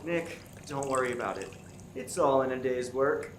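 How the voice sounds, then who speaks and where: A man's voice: medium pitch, lightly acted. A man playing an earnest, dramatic FBI agent solving a murder in a comic radio play, recorded in an echoey room with background noise. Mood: wry, relieved. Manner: deliberate, dramatic deducing. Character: one-eyed male FBI agent turned earnest, dramatic sleuth. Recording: roomy, echoey stage with clear background noise.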